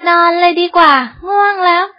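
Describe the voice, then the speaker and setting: A woman's voice: very high voice, fairly even intonation, moderate pace. A woman voicing a stubborn little girl who loves sweets, whiny and sing-song. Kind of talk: storybook voice acting. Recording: dull lo-fi recording.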